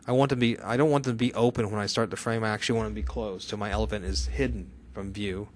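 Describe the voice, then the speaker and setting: A man giving a live software tutorial to a class, chatty, often asking questions and answering them himself. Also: fairly low pitch, steady tone, fast talker, a little hiss or hum. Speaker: software instructor.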